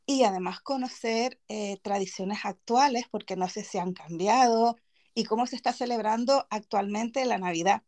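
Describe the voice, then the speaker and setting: A woman from Chile with a Canary Islands Spanish accent, hosting a casual podcast chat, chatty and giggly. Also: mid-range voice. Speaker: podcast host.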